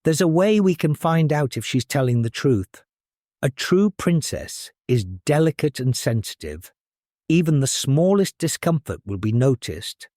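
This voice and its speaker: Man as a shrewd queen mother, lifted pitch, kindly, knowing, measured, storybook fairy tale. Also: very animated, a little mellow, no room sound, clean studio sound.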